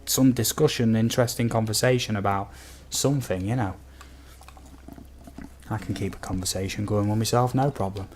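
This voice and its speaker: A man from the UK with a slightly croaky voice, chatting casually and easily to viewers as a livestream host. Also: on the low side, fairly even intonation, moderate pace, darkish tone, a little hiss or hum. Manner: easygoing, chatty, addresses viewers as buddy and guys. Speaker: game streamer, host.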